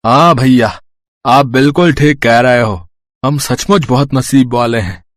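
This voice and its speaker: A man's voice: medium pitch, measured pace, no echo, studio quality, close to an everyday voice. A man playing humble, grateful village brothers in a moral story cartoon. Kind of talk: animated moral story dialogue.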